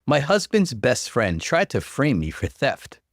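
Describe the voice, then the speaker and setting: A man reading an online story aloud as the channel's narrator, in an expressive storytelling manner. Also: medium pitch, unhurried, vocal fry, no room sound, clean studio sound.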